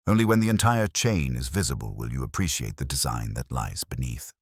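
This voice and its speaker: Man acting as a composed Victorian sleuth, extremely low voice, animated swoops, theatrical, precise, storybook detective. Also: a little fast, slightly rough, dry recording, clean studio sound, exaggerated voice. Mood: composed, lecturing. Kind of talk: audiobook detective story dialogue.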